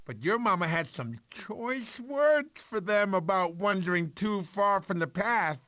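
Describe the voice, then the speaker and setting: A man's voice: sits high, animated, a little slow, bright, forceful tone, no room sound, exaggerated voice. A man voicing a goofy, sentimental cartoon sloth uncle who rambles fondly as he tells a baby a story. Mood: dramatic storytelling. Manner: rambling, fussy, doting storyteller. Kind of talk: animated film character narration.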